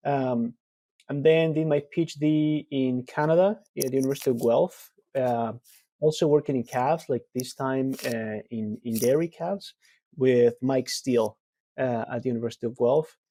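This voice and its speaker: A man from Argentina in his thirties, a researcher answering interview questions in a relaxed, explanatory way with frequent fillers. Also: medium pitch, moderate pace. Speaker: guest researcher.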